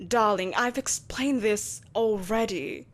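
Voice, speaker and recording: A woman playing a vengeful, possessive empress in an audio roleplay, speaking in a haughty, theatrical and deliberately menacing way. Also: medium pitch, lightly acted. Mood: exasperated, insistent. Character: vengeful, possessive evil empress, soulless, imperious, coaxing a captive. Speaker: voice actor. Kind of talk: scripted audio roleplay acting.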